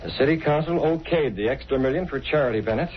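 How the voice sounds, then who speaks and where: Man playing fast-talking shrewd radio hero. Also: mid-range voice, lightly acted. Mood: shrewd, probing. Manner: brisk, probing, polite. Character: brisk, shrewd gentleman, inquisitive radio hero. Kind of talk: 1930s-40s radio drama acting. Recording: muffled, hissy vintage radio recording.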